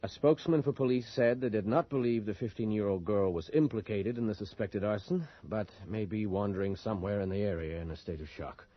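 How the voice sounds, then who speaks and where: An actor playing a cynical, wry reporter with a husky voice and a sardonic manner in a radio drama. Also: male voice, lowish voice, a little fast, hardly any pauses, no room sound, close to an everyday voice. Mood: brisk, weary. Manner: sardonic, muttering to himself. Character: cynical, wry male reporter, an outsider in town. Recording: muffled early 1980s radio broadcast.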